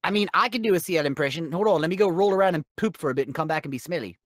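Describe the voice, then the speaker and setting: Someone doing a smug snide alien overlord villain, talks fast, super animated, sarcastic cartoon parody. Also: medium pitch, natural voice. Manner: sardonic, smug, fast offhand quips. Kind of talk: in-character fan question-and-answer show.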